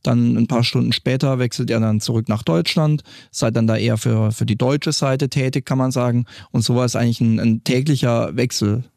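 Gravelly man explaining police work. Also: medium pitch, steady tone, brisk, hardly any pauses, a little mellow, no room sound, studio quality. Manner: explanatory, with some hesitations. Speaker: former police patrol group leader. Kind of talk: explaining in a studio interview. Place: studio.

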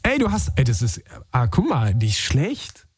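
A man hosting a late-night radio call-in show from a studio, bantering and teasing his callers in a playful, quick way. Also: medium pitch, wildly swooping pitch, a little mellow, breathy edge. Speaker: radio host. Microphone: studio microphone.

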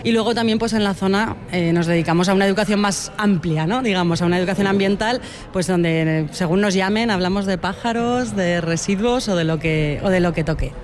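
A woman's voice: medium pitch, brisk. A woman from Spain, a beekeeper and environmental educator answering radio interview questions in an earnest, thoughtful way, often adding tag questions. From Spain (Huesca, Aragón).

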